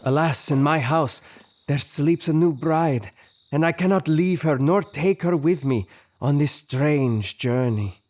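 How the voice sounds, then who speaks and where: An actor playing a young newlywed friend in an audio drama, kindly and apologetic, speaking gently, formally and sincerely. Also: male voice, on the high side, a little slow, close to an everyday voice. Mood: regretful. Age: young adult.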